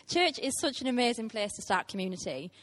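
A woman's voice: on the high side, fairly bright tone. A woman, preaching a heartfelt sermon to a church with frequent ums. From Teesside, England. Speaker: guest preacher.

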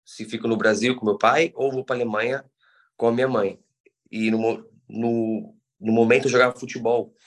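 A man's voice: medium pitch, fairly even intonation, moderate pace. A man in his thirties from São Paulo with a São Paulo accent, a guest who explains things patiently and often restarts his words. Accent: São Paulo Brazilian Portuguese. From Brazil. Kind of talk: conversational interview.

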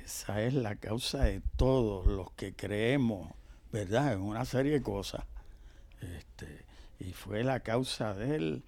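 An older Puerto Rican man, a professor being interviewed, telling history conversationally and haltingly, often pausing to search for words. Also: fairly low pitch, darkish tone, no room sound. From Puerto Rico. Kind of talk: conversational oral history storytelling.

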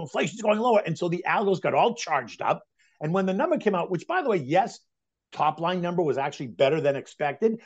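A man in his sixties talks fast and emphatically, his voice sitting high and climbing further when he gets worked up. The sound is a little dull and lo-fi. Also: gravelly. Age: 60s. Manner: emphatic, excitable market talk. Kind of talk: casual chat.

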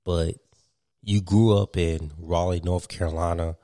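Deep-voiced young man, rambling chat. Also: steady tone, measured pace, warm tone, dry recording, clean studio sound. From Virginia, US. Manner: rambling, filler-heavy, laughs often. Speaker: podcast host. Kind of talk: casual chat.

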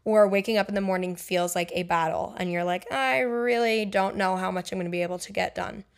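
Young woman, podcast host, casual solo chat, chatty, lots of fillers, candid, mid-pitched voice. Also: steady tone, fairly quick. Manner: chatty, filler-heavy with frequent "like" and "um"; candid and personal.

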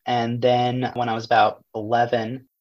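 A young man from the United States introducing himself as a panel guest, relaxed and easygoing. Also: medium pitch, moderate pace. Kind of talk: casual self-introduction. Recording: dull recording.

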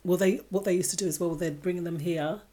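A woman's voice: fairly low pitch, steady tone, brisk, warm tone. A woman from England, co-hosting a casual discussion and speaking reflectively, addressing her listeners directly. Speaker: podcast co-host.

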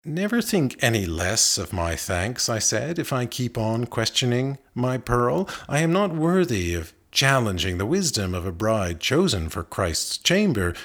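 A man reading a narrative poem aloud in a literary recitation, with measured, deliberate phrasing and expressive emphasis. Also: medium pitch. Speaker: reader of poetry.

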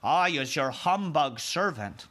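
A man's voice: a little high, animated, slow, a bit bright, heavily acted. A man doing a gushing, grand storybook giant. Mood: courtly. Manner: grand, effusive, muddled words. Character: friendly giant, gushing, reverent, with mangled grammar. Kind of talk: children's storybook read aloud.

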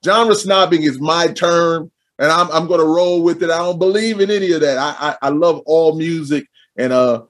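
Husky-voiced hype man hosting a show. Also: a little high. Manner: repeats his phrases for emphasis, energetic. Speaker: podcast host. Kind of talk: hyped-up show introduction and casual interview.

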